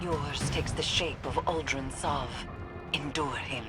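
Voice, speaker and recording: A slow, solemn occult witch. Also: low voice. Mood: solemn briefing. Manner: solemn, incantatory, deliberate. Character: grave female occult witch, solemn and cryptic. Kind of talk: dramatic video game acting. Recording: loud game music behind.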